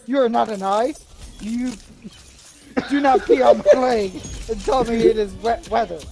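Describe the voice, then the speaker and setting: A man's voice: very high voice, normal speed, creaky, warm tone. A man reading an absurd comedy script aloud on a livestream, stumbling over and repeating lines.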